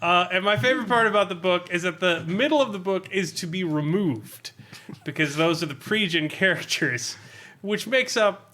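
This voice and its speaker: A man's voice: high-pitched, normal speed, sharp tone. An American man giving a casual podcast review with a dry, sardonic manner.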